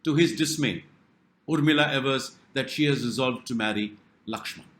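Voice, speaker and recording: A man reading a scripted review in a wry, dramatic manner with deliberate pauses. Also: mid-range voice, fairly bright tone, roomy sound. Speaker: film review narrator. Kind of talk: scripted narration.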